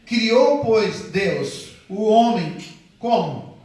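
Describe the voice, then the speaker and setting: A man preaches a sermon, his fairly high voice ringing through a very echoey church hall. He stands well back from the mic, stretches key phrases slowly and keeps calling on the congregation to answer. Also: animated, darkish tone, far from the mic. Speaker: pastor.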